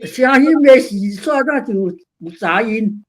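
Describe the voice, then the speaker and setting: A woman with a fairly high voice speaks up as a participant in a group discussion. She puts her questions casually. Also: lively intonation, slow.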